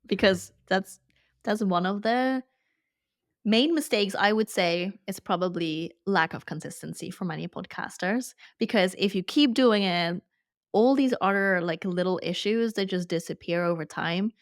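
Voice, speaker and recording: A woman's voice: medium pitch, moderate pace, no room sound, studio quality. A woman hosting an interview podcast, speaking in an upbeat, enthusiastic way. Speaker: podcast host.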